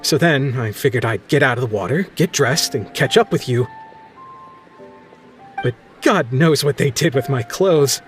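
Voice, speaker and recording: A man's voice: mid-range voice, animated, measured pace, soft background music, close to an everyday voice. A man playing a flustered, stammering sea captain. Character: flustered embarrassed male ship captain. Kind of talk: video game voice acting.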